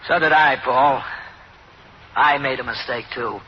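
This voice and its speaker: An American actor playing a steady, terse police captain who also narrates an old-time radio crime drama. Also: male voice, mid-range voice, measured pace, lightly acted. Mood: stern. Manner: terse, matter-of-fact. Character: steady male police captain, weary, plain-spoken narrator. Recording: muffled, noisy old radio recording.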